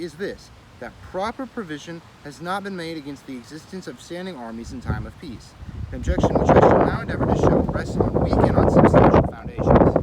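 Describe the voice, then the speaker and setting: A man reading an old political essay aloud in a measured, oratorical way, now and then stumbling and correcting himself. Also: fairly high pitch. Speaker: reader of a daily series. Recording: clear street and vehicle noise.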